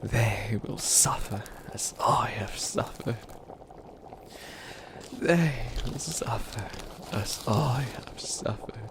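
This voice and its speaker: A man's voice: on the low side, slow. A man playing an evil witch who rehearses grandiose villain monologues with pompous menace, in an ASMR roleplay. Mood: sinister. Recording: clear background ambience noise.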